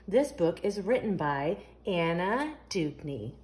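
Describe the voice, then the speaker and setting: A woman's voice: lowish voice, big pitch swings, slow pace, a little mellow. A woman hosting a children's storytime, reading a picture book aloud expressively in a sing-song, question-asking way. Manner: sing-song, asks the young listeners questions.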